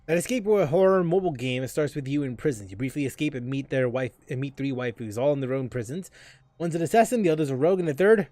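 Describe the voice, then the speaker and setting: A man's voice: mid-range voice, fast talker, mellow, no echo. A man doing casual game commentary as a streamer, rambling with wry asides. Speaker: gaming streamer.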